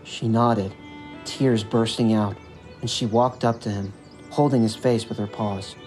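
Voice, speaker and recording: A man's voice: fairly low pitch, fairly even intonation, unhurried, darkish tone, poor audio. A man reading a scripted story as its narrator, with music behind his voice.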